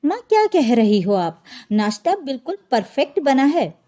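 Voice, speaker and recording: Woman narrator as younger sister-in-law, outspoken, caring, mellow high voice, audio story. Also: sing-song, moderate pace. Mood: defiant.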